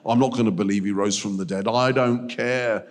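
A man's voice: lowish voice, fairly even intonation, moderate pace, voice pushed down, lightly acted. A man voicing a gloomy, doubting male disciple who grumbles and dismisses everything, heard in an echoey church hall. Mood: gloomy grumbling.

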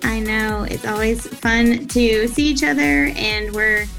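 A woman's voice: on the high side, slow, vocal fry, a bit bright, faint music behind. A woman co-hosting an interview over a video call, encouraging as she introduces topics. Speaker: co-host.

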